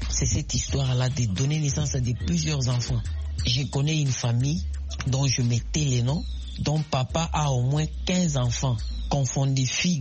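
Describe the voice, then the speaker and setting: Impassioned young Congolese man on radio. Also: mid-range voice. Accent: Congolese French. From Congo (DRC). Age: young adult. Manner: oratorical, repetitive, rhetorical questions. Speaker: radio call-in contributor. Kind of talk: impassioned opinion on radio call-in.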